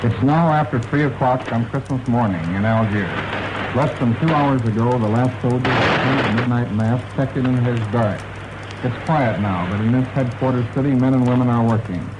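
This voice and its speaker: A man's voice: mid-range voice, moderate pace, very noisy. A man narrating a scripted report as a radio correspondent in the style of a 1940s broadcast. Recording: vintage 1940s radio broadcast, background music. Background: music behind the voice.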